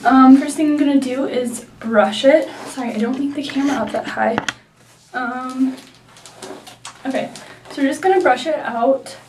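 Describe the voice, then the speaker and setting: A woman's voice: high voice, moderate pace, long pauses, darkish tone, distant mic, a little hiss or hum. A young woman with a nasal voice from a sinus infection, chatting through a hair tutorial with many likes and ums. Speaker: beauty vlogger. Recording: echoey room, mic set back.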